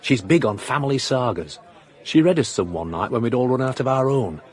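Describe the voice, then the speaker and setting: An actor playing a sardonic, world-weary amateur writer with a dry, deadpan manner in a radio drama. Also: male voice, mid-range voice, fairly quick, close to an everyday voice. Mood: scornful.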